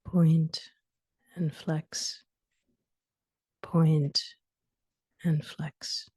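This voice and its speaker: Slow, low-pitched woman guiding sleep meditation. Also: little pitch movement, mellow, slightly rough, dry recording. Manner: soothing, instructional, short phrases with long pauses. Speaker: yoga and meditation guide.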